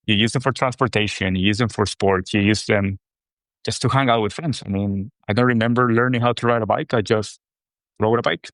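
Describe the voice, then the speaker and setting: A man in his thirties from Costa Rica, a brand co-founder talking about himself in a relaxed, rambling way. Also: lowish voice, fairly quick, dry recording. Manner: relaxed, rambling, with fillers and restarts. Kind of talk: casual interview talk.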